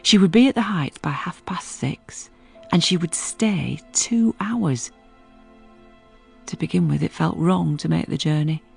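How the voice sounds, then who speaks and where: An actress playing a sensible, stern but loyal housekeeper who narrates a gothic audio drama in a measured, plain-spoken way. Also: female voice, sits low, creaky, husky, faint music behind, exaggerated voice. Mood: measured, grave.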